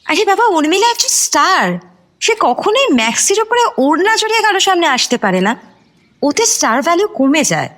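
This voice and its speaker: A high-voiced, sassy young stylist. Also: female voice, very animated, normal speed, fairly bright tone, heavily acted. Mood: impatient. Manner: sassy, impatient, mixes in English words. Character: brash, smart young female celebrity stylist, go-getter. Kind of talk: radio drama acting.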